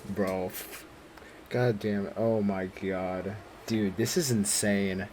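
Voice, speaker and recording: A man's voice: fairly low pitch, fairly even intonation, slow, noisy background. A man giving casual, slangy reactions as he plays through a game. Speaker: gaming video creator. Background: game music.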